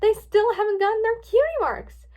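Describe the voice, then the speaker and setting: A woman's voice: very high voice, animated, moderate pace, dull audio, voice pushed up. A woman voicing an earnest, indignant female pony princess in a story, exclaiming emphatically. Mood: shocked, indignant.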